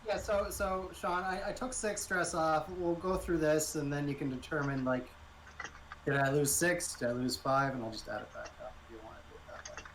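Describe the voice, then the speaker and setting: A man's voice: on the high side, a little fast, a bit bright, slight background noise, low-quality recording. A man chatting casually as a player in a tabletop game, tentative and repeating words as he starts.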